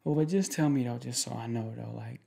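A young man in a casual, improvised boyfriend roleplay, speaking informally in slangy, halting, rambling phrases. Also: medium pitch, vocal fry, dark tone. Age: young adult. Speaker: ASMR roleplay performer playing a protective boyfriend. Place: small indoor room at home.

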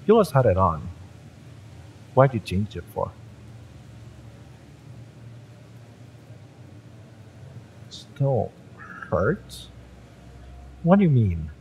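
A fiery mercenary, acted by a man, in an audio drama, with a low voice that swings expressively. He taunts slowly and deliberately, cocky and menacing, over noticeable ambient noise. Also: huge pitch swings, darkish tone, heavily acted, low-quality recording. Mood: suspicious, puzzled. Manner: taunting, sardonic, drawn-out deliberate delivery. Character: fiery male mercenary, cocky, exasperated, menacing yet familiar. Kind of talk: scripted romance audio roleplay acting.

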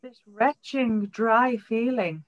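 A woman with a fairly high voice speaks in slow, halting phrases over a video call. Her voice is fading as she talks. She says each short phrase so it can be repeated back. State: losing her voice. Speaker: tapping client. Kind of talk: tapping session phrases.

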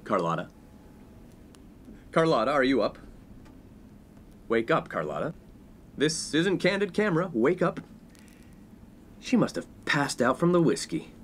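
Guy doing a smug lecherous college dude from the 50s, pompous, pitch all over, radio play. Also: sing-song, measured pace, long pauses, a little hiss or hum. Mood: scheming, musing. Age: young adult. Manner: pompous, mock-philosophical. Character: smug, spoiled, lecherous 1950s college man. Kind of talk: comedic 1950s radio play acting.

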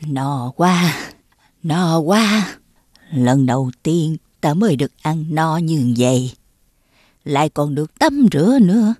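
A woman voicing a weary, grateful old beggar woman with a Southern Vietnamese accent in an animated fairy tale. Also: sits low, slow pace, no echo, studio quality, exaggerated voice. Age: older.

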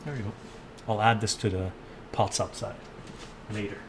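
An older man talks casually as he cooks, musing aloud and replying to viewers' comments. His voice sits on the low side. The sound carries clear background noise from the kitchen. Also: steady tone, normal speed. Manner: chatty, thinks aloud, reads chat and answers it. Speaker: cooking live streamer. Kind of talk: casual live-stream chat while cooking. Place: home kitchen, indoors. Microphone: fixed camera mic, moves around the kitchen.

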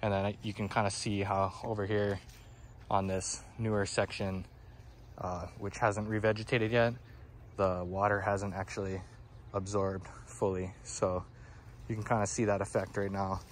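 Guy walking his garden on a phone, low flat voice, lots of um you know, faint outdoor air. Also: moderate pace, slight background noise. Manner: relaxed, many fillers like "um, you know". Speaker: forest garden grower giving a tour. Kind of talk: casual walking tour explanation. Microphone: phone camera held by the speaker.

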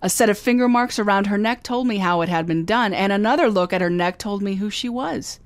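A woman's voice: mid-range voice, a little fast, no echo, natural voice. A woman playing a wisecracking young female private detective in a radio drama, telling her story in dry, wry first-person hardboiled narration. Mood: narrating. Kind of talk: 2009 re-creation of 1950 radio drama. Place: recorded live, San Francisco.

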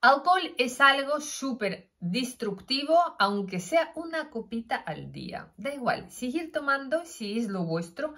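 Animated woman with a Russian accent. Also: high-pitched, measured pace, few pauses. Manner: animated, gesturing, many fillers and restarts. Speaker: health vlogger. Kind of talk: casual talk to camera. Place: indoors, plain room with curtain backdrop. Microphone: phone camera, front-facing.

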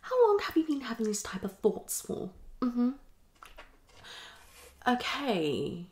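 Woman's ASMR roleplay, lively swooping pitch. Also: slow pace, slight background noise. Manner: muttering and repetitive, slipping between whisper and speech. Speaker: ASMR performer playing a psychologist. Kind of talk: fast, unpredictable ASMR roleplay. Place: small living room, indoors.

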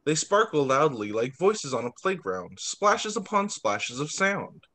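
A man teacher reading picture books aloud to young children on a video call, expressive, drawing out words and adding child-friendly asides. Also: fairly high pitch, a little slow. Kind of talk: animated read-aloud story time for young children.

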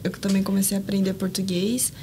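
A woman's voice: mid-range voice, level tone, fast, background noise. A woman who grew up in Brazil, a podcast guest telling short, plain stories in casual chat.

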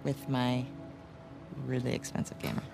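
A woman playing a confident, warm, middle-aged American wife and adventurous journalist in a naturalistic video game cutscene, with a teasing, assured manner. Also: very deep voice, monotone, normal speed, gravelly. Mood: earnest, hopeful. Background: music.

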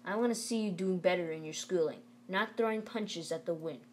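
A woman's voice: lowish voice, brisk, a little hiss or hum, close to an everyday voice. A woman reading aloud the part of a stern, plain-spoken father who lectures his son in a firm, folksy way. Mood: earnest, lecturing. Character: stern, plain-spoken Southern father, a working man urging schooling.

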